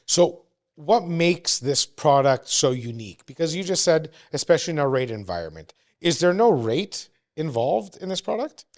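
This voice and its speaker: A man in his forties from Canada hosting a casual podcast interview, asking lively questions and often repeating himself. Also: a little high, normal speed. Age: 40s. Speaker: podcast host.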